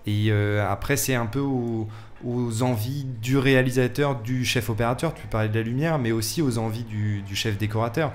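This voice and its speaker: A man's voice: mid-range voice, normal speed, a little hiss or hum. A man speaking as a guest in a casual radio interview, explaining things and often restarting or repeating words. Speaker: film set decorator, radio guest. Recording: music playing behind the voice. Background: music.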